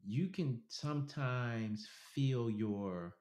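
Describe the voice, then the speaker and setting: A man's voice: mid-range voice, drawn-out words, darkish tone, echoey room. A man from New York co-hosting a podcast in casual chat, laid-back, laughing easily and repeating words for emphasis. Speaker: podcast co-host. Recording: dull lo-fi sound with faint music.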